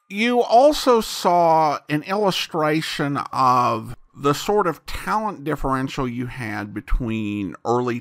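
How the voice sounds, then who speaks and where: A man hosting a podcast, giving conversational review commentary in a wry, animated manner. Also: a little high. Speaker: podcast host.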